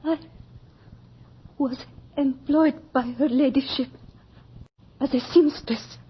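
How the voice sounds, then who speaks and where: An actress playing a frightened young peasant seamstress in a radio horror drama, halting, tearful and pleading. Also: female voice, sits high, fairly even intonation. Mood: fearful, halting. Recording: dull lo-fi old radio recording.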